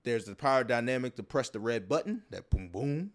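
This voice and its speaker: A man's voice: mid-range voice, lively intonation, a little fast. A man hosting a podcast in a casual conversational style, talking in a long-winded way that circles back and repeats words. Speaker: podcast host.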